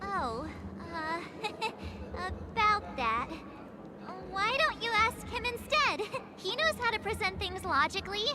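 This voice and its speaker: Bubbly high-pitched game girl. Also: lively intonation, slow pace, heavy background noise, full character voice. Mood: sheepish. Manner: chirpy, giggly, sheepish. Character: bubbly young girl adventurer, cheerful. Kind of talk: video game voice acting. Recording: game dialogue over loud music.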